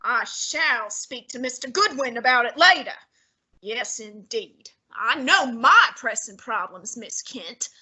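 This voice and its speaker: A pompous, haughty male detective, fat and lazy, played by a girl. The voice sits high and bright, and the lines come out slow and clipped for a student radio play. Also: expressive, slightly rough, heavily acted, rough recording. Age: teens.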